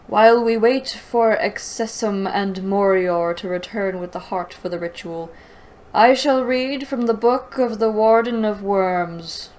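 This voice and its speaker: A woman's voice: medium pitch, unhurried, a little mellow, natural voice, low-quality recording. A woman voicing a sinister, formal male necromancer from a fantasy story. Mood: solemn. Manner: formal, ceremonious.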